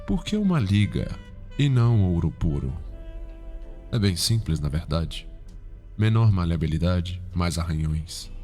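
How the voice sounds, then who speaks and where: Man acting a deep-voiced, refined serial killer. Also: a little mellow. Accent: Brazilian. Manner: pompous, precise, savoring his words. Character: refined, erudite, sinister male serial-killer consultant. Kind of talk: ASMR roleplay acting.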